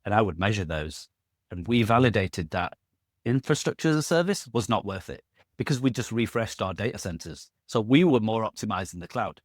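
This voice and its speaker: Middle-aged man, low-pitched, loose and jokey guest, dry recording. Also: lively intonation, brisk, no echo. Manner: rambling, self-correcting, jokey asides. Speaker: podcast guest. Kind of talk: casual conversational interview.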